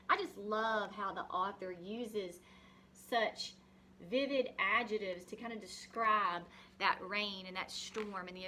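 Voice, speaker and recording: Bright-voiced woman reading aloud to children. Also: fairly high pitch, slight background noise. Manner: asks guiding questions, explains simply. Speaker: teacher reading a picture book aloud. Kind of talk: expressive read-aloud with explanatory asides to young children.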